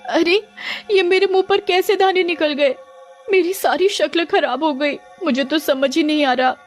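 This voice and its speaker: A woman's voice: very high-pitched, unhurried, soft background music, voice pushed up. A woman voicing a self-absorbed, easily frightened young wife in a dramatic, whining way for a cartoon story. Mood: dismayed.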